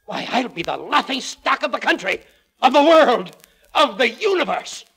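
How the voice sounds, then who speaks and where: A man's voice: very high-pitched, sing-song, normal speed, vocal fry, sharp tone, breathy edge, full character voice. A man playing a pompous, blustering magazine publisher in 1940s radio comedy style, easily outraged and declamatory. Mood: furious, appalled.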